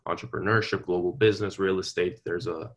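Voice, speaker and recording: A man's voice: low voice, fairly even intonation, a little fast. A young man from Venezuela, a university student answering interview questions in an earnest, polite way, with long careful sentences and small restarts. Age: young adult. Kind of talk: conversational interview.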